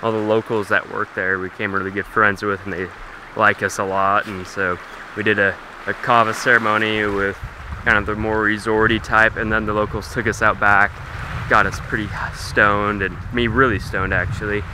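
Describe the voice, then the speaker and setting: Young male travel vlogger, lowish voice, rambling and relaxed, on-camera beach mic with waves rushing behind. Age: young adult. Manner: rambling, laid-back, easily excited. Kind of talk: casual vlog chat to camera. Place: outdoors on a tropical beach. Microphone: action camera held at arm's length. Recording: outdoor camera mic with clear surf noise. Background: waves and outdoor ambience.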